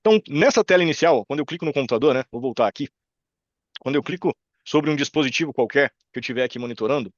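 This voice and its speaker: Casting: Brazilian male presenter, Brazilian Portuguese accent, chatty and quick, informal tutorial delivery, close dry sound with slightly lo-fi tone. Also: mid-range voice, dry recording. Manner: informal, chatty, checks in with listeners. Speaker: presenter demonstrating software. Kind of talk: informal live screen-share tutorial. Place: indoor room on a video call.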